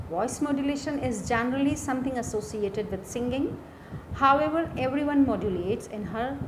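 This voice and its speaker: A woman with an Indian accent teaching a lesson from slides in a deliberate way, repeating key phrases. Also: a little high, noisy background. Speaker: teacher, lecturer. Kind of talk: instructional lesson explaining slides.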